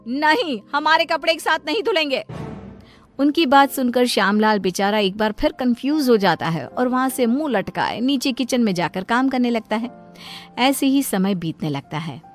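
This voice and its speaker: A woman's voice: on the high side, animated, moderate pace. A woman storyteller reading scripted narration of a moral tale. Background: light background music.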